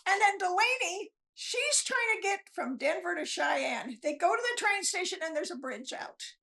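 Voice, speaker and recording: Casting: female author guest, very high and bright voice, casual animated storyteller. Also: moderate pace, gravelly. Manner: animated storyteller, acts out bits of dialogue. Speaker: guest novelist. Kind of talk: casual interview chat.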